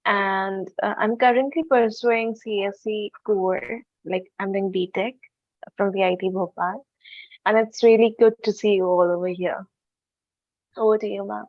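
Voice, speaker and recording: A woman's voice: medium pitch, steady tone, unhurried, no echo, highs cut off. A young woman from India, an engineering student, politely introducing herself. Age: young adult.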